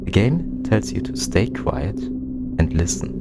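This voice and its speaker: Very low-voiced man thinking aloud while gaming. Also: big pitch swings, unhurried, over loud music. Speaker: game streamer, let's-player.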